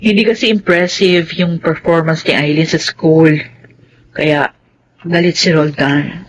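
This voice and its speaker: A woman with a Filipino accent playing a gentle, pleading middle-aged mother in a radio drama. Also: deep voice, fairly even intonation, a little slow, a little hiss or hum, rough recording. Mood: saddened, respectful.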